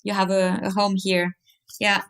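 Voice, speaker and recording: A woman from France with a mixed French accent, talking expressively in halting non-native English as an interview guest. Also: mid-range voice, monotone, a bit bright, clean tone. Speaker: podcast guest, movement artist. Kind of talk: casual conversational interview.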